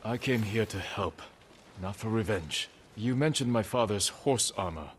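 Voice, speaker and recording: An actor playing a stoic, resolute samurai in a video game, speaking in a measured, earnest, formal way. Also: male voice, lowish voice, animated, a little hiss or hum. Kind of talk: restrained game voice acting.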